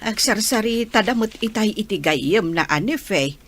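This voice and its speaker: A woman playing a fussing, chiding old grandmother with drawn-out phrasing in an Ilocano radio drama. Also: medium pitch, animated, fairly bright tone. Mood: explaining, concerned.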